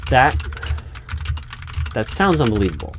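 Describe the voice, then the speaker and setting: A man playing a politely incredulous recruiting manager in an improvised audio roleplay, speaking aloud in a halting, stammering way and repeating words. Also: mid-range voice, wildly swooping pitch, slight background noise. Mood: polite, probing. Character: flustered, politely incredulous male recruiting manager interviewing someone. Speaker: job interviewer. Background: computer keyboard typing.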